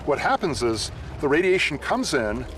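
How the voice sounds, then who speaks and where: A man giving measured, step-by-step explanations as an expert being interviewed. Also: fairly high pitch, big pitch swings, over music.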